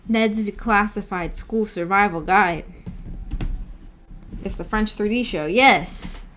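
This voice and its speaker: A woman's voice: mid-range voice, slow pace, a bit bright. A young adult woman chatting casually on a livestream, rambling informally and reading viewer comments aloud as she goes. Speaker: VTuber livestreamer.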